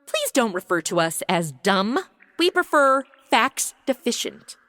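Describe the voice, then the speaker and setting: A flippant, darkly sarcastic young woman played by a woman for a comedy audio drama, delivering snarky deadpan quips. Her voice is high, bright and lively, and recorded dry and close. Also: unhurried, no echo.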